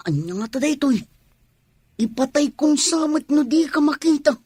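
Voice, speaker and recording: A woman's voice: high-pitched, lively intonation, normal speed, dark tone, no room sound, studio quality. A woman playing a devoted, teasing young tomboy in a radio drama, sweet-talking a partner. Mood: lovestruck. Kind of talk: Ilocano radio drama acting.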